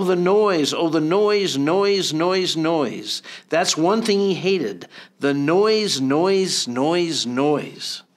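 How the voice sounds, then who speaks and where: A man who is a mayor reading a rhyming children's storybook aloud, with an expressive, rhythmic delivery. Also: fairly high pitch.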